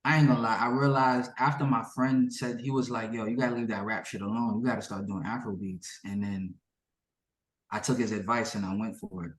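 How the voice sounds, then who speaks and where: A man's voice: on the low side, steady tone, fairly quick, roomy sound, rough recording. A man whose native language is Yoruba, a musician giving a casual interview in informal, slangy speech, often repeating himself.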